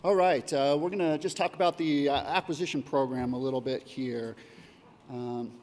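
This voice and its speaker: A man's voice: medium pitch, fairly even intonation, moderate pace, a little mellow, long reverb tail. A man giving a prepared conference presentation as a coordinator, reading steadily with occasional fillers. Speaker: data acquisition coordinator. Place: conference hall.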